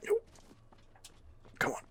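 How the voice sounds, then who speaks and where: A man softly narrating an ASMR game playthrough in character, hesitant and musing to himself in a hushed voice. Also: slow pace, a little hiss or hum. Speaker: host of a roleplay gameplay series.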